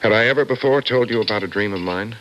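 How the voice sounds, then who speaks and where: A man's voice: lowish voice, quick pace, lo-fi sound, close to an everyday voice. An actor playing an anxious, earnest office man haunted by his dreams, in old-time radio drama style. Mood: brooding, earnest. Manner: earnest, brooding. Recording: noisy vintage radio recording.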